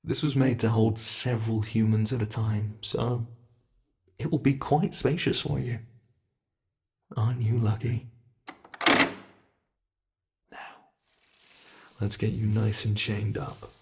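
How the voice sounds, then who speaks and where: A British man voicing an ancient male monster in an ASMR horror roleplay, speaking with soft, mockingly polite menace. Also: on the low side, fairly even intonation, vocal fry. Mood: possessive menace. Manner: slow, soft-spoken, teasing menace.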